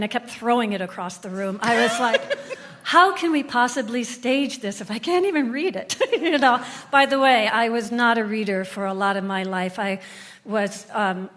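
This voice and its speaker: A woman's voice: medium pitch, a bit bright. A woman talking animatedly and emphatically as a theatre guest in an interview on stage. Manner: enthusiastic, emphatic. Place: on stage before a live audience.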